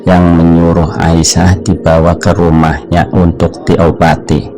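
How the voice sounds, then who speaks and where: A man narrating a cartoon in a plain, even way. His voice is very deep. Music runs underneath. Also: a little slow. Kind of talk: scripted narration. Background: background music.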